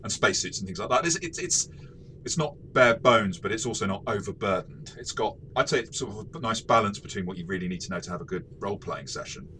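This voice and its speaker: A man co-hosting a casual game review discussion, talking in a rambling, halting way and often repeating words. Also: on the low side, sharp tone, slight background noise. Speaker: podcast host and game reviewer.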